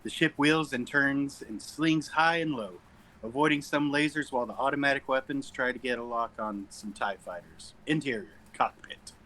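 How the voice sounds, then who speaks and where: A man's voice: medium pitch, normal speed, bright, forceful tone, slight background noise, rough recording. A man reading stage directions as narrator at an informal sci-fi script table read.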